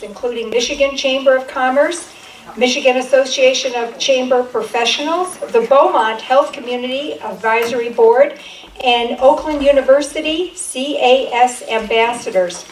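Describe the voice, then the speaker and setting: A woman's voice: sits high, normal speed, recorded from a distance. A woman host reading a formal introduction of a guest speaker, going steadily through a list of credentials. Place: meeting room. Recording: echoey room recorded from afar, faint noise.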